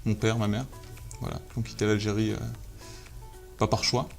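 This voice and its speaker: A man in his thirties from Algeria, a photographer guest telling his story in a relaxed interview, hesitant with many fillers and restarts. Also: lowish voice, normal speed, gravelly, soft background music. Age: 30s. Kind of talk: casual interview talk.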